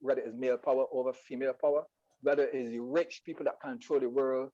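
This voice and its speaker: A man with a Barbadian accent speaking as a guest poet in an interview, explaining in a halting way and often repeating words. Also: medium pitch, fairly even intonation, dark tone, highs cut off.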